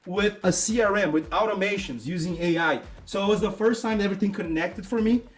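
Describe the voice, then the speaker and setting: High-voiced man hosting. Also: a little fast. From Brazil. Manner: upbeat, technical, explanatory. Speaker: host. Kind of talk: casual presentation.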